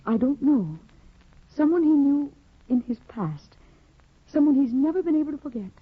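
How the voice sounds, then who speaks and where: A woman's voice: sits high, animated, moderate pace, no room sound. A woman playing a timid, devoted young woman in a 1950s radio drama, earnest and anxious. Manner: earnest and anxious, soft-spoken. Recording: tinny old radio broadcast.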